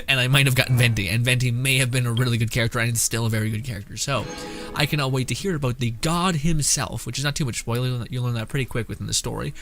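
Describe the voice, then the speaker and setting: A man's voice: mid-range voice, fairly bright tone, slight background noise. A man giving casual gameplay commentary as a content creator, rambling and dropping joking asides.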